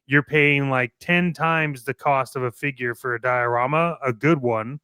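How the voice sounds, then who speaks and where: A man's voice: mid-range voice, a little slow, bright, forceful tone, clean tone, no room sound, clean studio sound. A man co-hosting a show, giving casual opinions in a blunt, emphatic way with some swearing. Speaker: co-host of a toy collecting show.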